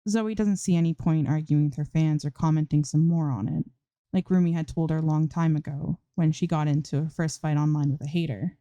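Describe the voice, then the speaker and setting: Female narrator for story reading, low and soft-edged, dry close recording. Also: steady tone, a little fast, no room sound, lo-fi sound. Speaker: fan fiction reader.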